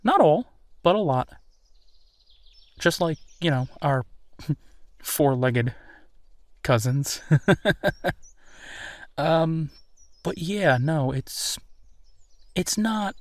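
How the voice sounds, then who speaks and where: A man playing a candid, awkward werewolf in an intimate audio roleplay, talking in a halting, conversational way with sighs. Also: medium pitch, no echo. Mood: thoughtful, explaining. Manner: halting, hesitant, sighing, conversational. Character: easygoing young male werewolf, awkward, candid, bitter about rival.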